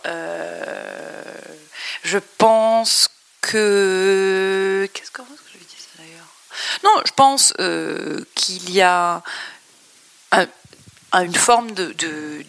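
A woman from France, a guest in an interview, talking thoughtfully and halting often with restarts. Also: on the low side, creaky, a bit bright. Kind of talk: interview conversation.

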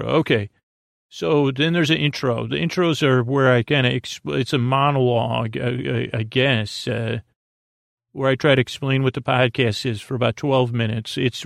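A man delivers a meandering bedtime monologue as a podcast host. His voice has plenty of vocal fry and sits in a very dry recording. He is soothing and whimsical, often halting, tripping over words and drifting off on tangents. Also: mid-range voice, dry recording. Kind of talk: rambling bedtime monologue to help listeners sleep.

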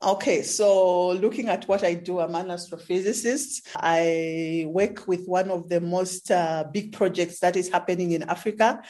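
A woman's voice: lowish voice, darkish tone. A woman in her thirties with a Zambian English accent, answering interview questions in a conversational way. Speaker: interview guest, astrophysics researcher.

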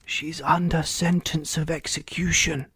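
A nervous little storybook rabbit, acted out by a narrator, timid and fearful. The voice is hushed and whispery, a little raised in pitch, with an anxious, secretive manner. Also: creaky, breathy edge, dry recording. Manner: hurried, nervous, stammering.

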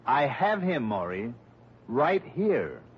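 Muffled 1960s radio wry American investigator. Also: male voice, mid-range voice, big pitch swings, slow, a little hiss or hum. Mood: wry, impatient. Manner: dry, sardonic. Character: wry, weary male insurance investigator of radio drama. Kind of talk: 1960s radio drama acting. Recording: muffled old radio recording.

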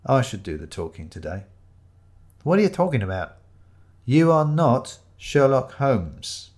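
A man's voice: medium pitch, sing-song, a little slow, darkish tone, voice pushed up. A man playing an awkward, easily exasperated male sidekick with a fussy manner.

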